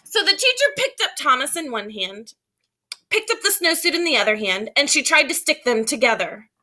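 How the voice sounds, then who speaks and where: A woman with a high, bright voice reads a picture book aloud like a schoolteacher. She is expressive and emphatic, stopping to explain things to children. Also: normal speed. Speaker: teacher reading aloud to children. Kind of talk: animated children's storybook read-aloud.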